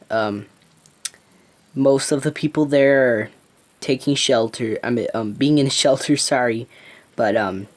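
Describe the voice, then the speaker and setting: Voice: mid-range voice, close to an everyday voice. A person playing a hesitant, worried local morning news anchor, stumbling informally through an improvised breaking news report. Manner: halting, stumbling, informal delivery.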